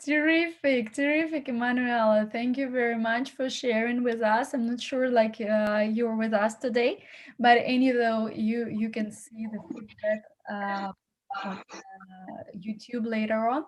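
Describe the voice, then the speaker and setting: A woman's voice: a little high, fairly even intonation, measured pace, slight background noise. A woman hosting as an acting coach, giving encouraging feedback with many fillers and repeated words. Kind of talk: casual feedback on a video call.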